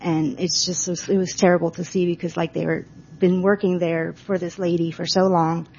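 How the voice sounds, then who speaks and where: A woman asks a question at a live event, hesitating and looping back with "like" and "um." Her voice is low with a lot of vocal fry. The audio is dull, with a faint noise underneath. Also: little pitch movement, brisk, warm tone. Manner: hesitant, rambling, full of "like" and "um". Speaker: audience member, restaurant worker. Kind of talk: asking a question from the audience.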